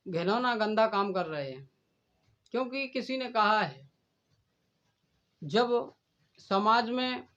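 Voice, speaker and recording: A man delivering a stern, deliberate admonishing speech in a preachy manner. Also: sits high, a bit bright. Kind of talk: admonishing speech addressed to a rival. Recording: dull, lo-fi recording.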